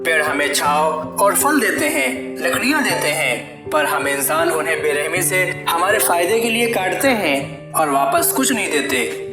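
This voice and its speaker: A woman's voice: low-pitched, expressive, unhurried, echoey room, over loud music. A woman narrating a scripted moral story for children as a storyteller.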